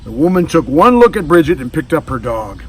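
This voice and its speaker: A man reading a children's storybook aloud in an expressive, animated style. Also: a little high, a little fast, slightly rough. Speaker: storybook reader. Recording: distant mic with faint background noise.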